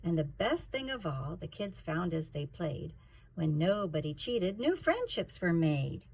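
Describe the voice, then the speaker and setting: A woman's voice: fairly low pitch, big pitch swings, a little slow, darkish tone, breathy edge. A woman reading a rhyming children's story aloud in a theatrical, emphatic way. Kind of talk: expressive rhyming storybook narration.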